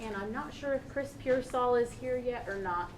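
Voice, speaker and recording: A woman opens a public school meeting in a friendly, procedural way, with a few fillers. Her voice sits a little high, with a faint hiss in the background. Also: normal speed. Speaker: meeting chair.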